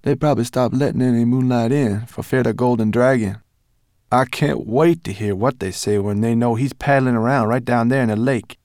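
A man voicing a brusque, furry male mountain creature who talks high and impatiently. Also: fairly even intonation, a little fast, a little mellow, no room sound, clean studio sound, natural voice. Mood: offhand.

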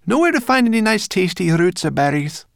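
A man's voice: sits high, big pitch swings, fairly quick, gravelly, dry recording, studio quality, pitched above the performer's own voice, full character voice. A man voicing a feisty, blunt, food-loving female brownie creature for a children's storybook. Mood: impatient, brisk. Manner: blunt, complaining, bossy.

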